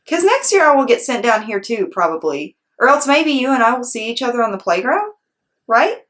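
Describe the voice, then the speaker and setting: A woman voicing an excitable, cheeky little kindergarten girl, chatty and emphatic, in a children's storybook read-aloud. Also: on the high side, fairly quick, voice pushed up. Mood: eager.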